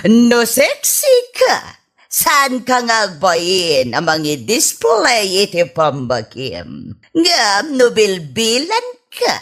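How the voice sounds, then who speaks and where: Vain, teasing grandmother, radio comedy; hugely animated pitch, very slow, exaggerated delivery. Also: exaggerated voice. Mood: boastful, teasing. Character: vain flirtatious grandmother in-law who flaunts her figure. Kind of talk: Ilocano radio comedy drama acting.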